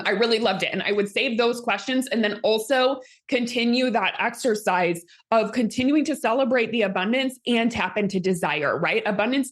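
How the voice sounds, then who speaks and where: A woman in her thirties, a coach leading a live session in an upbeat manner, her voice a little strained from talking a lot. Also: fairly high pitch. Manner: upbeat, reads out audience comments. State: slightly strained voice, healing. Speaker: host and manifestation coach.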